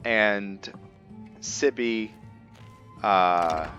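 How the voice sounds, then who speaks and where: A man with a low voice hosts a gameplay video in a casual, conversational way. He thinks aloud in a laid-back manner, with faint game music playing behind him. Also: very slow, bright, forceful tone, lo-fi sound. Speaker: gaming video host. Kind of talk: casual roleplay gameplay commentary.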